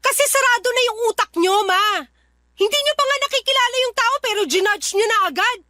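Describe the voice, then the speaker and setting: A woman's voice: very high voice, quick pace, pushed and bright, no echo, studio quality. A woman playing an earnest, pleading young adult Filipina daughter in a radio drama. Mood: pleading, upset.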